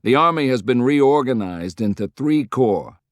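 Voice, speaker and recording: A man's voice: medium pitch, animated, measured pace, no echo, clean studio sound, voice pushed up, lightly acted. A man voicing a self-assured army general in an audiobook, formal and politely insolent. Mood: smug courtesy.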